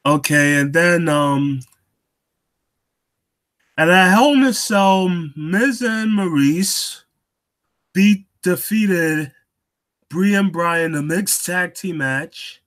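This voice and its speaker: A young man hosting a casual talk show on his own, getting over a cold and coughing now and then, halting with lots of ums and restarts. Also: high voice, a bit bright. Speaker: wrestling show host.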